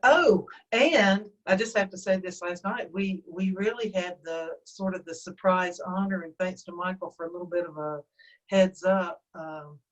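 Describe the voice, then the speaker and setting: A woman with a somewhat low voice talks informally on a group call. She is a facilitator giving an update to colleagues, speaking into a phone. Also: normal speed, highs cut off. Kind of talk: casual check-in on an online group call.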